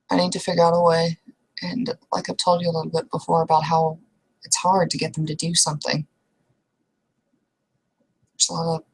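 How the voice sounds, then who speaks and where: A woman with a slightly low voice talks her way through a roleplay session. Her delivery is casual and conversational, with halting phrases that often trail off. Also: gravelly, slightly rough, low-quality recording. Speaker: tabletop roleplay player. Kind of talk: casual in-character roleplay talk.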